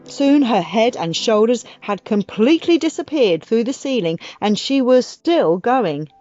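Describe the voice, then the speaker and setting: Animated, high-pitched woman storyteller. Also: a little slow, dry recording, muffled recording. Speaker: audiobook narrator. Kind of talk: expressive children's story narration. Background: music.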